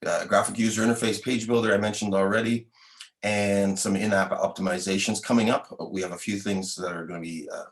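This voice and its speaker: Man, very low voice, casual livestream host, loose product-update chat, video call. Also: flat delivery, brisk. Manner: casual, loose with fillers and restarts. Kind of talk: product update and show hosting.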